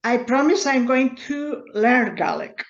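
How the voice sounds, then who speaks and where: A woman's voice: high voice, animated, measured pace, reverberant. A woman from Galicia interviewing a guest, hesitant and full of fillers. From Galicia, Spain. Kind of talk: interview questions. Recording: muffled lo-fi audio.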